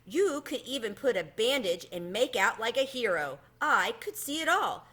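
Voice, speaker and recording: Melodramatic little male mouse, played by a woman, streetwise schemer, exclamatory, high swooping pitch, storybook character. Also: very animated, normal speed, a bit bright, breathy edge, heavily acted. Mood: eager scheming.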